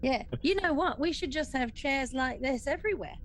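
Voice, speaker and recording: A woman's voice: sits high, expressive, moderate pace, slight background noise. A woman playing a tabletop game, chatting casually and playfully as she improvises. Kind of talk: casual improvised role-play chat.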